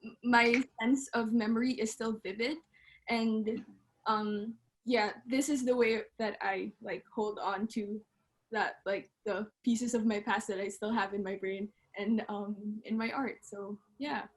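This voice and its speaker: A woman's voice: a little high, fairly even intonation, measured pace. A woman from the Philippines talking casually and hesitantly as an artist on a panel.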